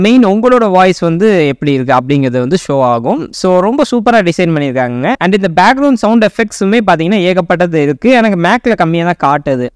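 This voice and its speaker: A young man with an Indian Tamil accent narrates a software tutorial in a high, smooth voice. He moves along quickly, in an informal explainer style peppered with English words. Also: dry recording, studio quality. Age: young adult. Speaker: tech review YouTuber.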